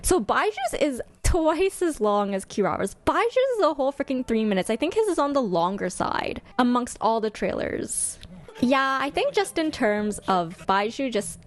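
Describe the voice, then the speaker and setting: A woman streamer chatting casually with her viewers, commenting in an expressive, gushing way and thinking her choices through out loud. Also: high-pitched, moderate pace. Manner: expressive, gushing, deliberating aloud. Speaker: game streamer and host.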